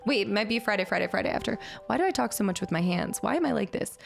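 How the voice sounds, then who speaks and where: A woman streamer chatting casually with her viewers and thanking them, upbeat, her voice a little raspy today. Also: medium pitch, lively intonation, fast talker, soft background music. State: slightly raspy voice. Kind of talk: casual stream chat reading chat. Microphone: streaming mic.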